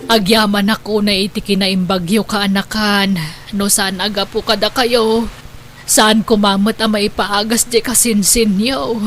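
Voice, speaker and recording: A woman's voice: mid-range voice, fairly even intonation, unhurried, music in the background, close to an everyday voice. A woman playing a worried, devout and loving old grandmother in a radio drama, speaking in an earnest, plaintive way. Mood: worried, pleading.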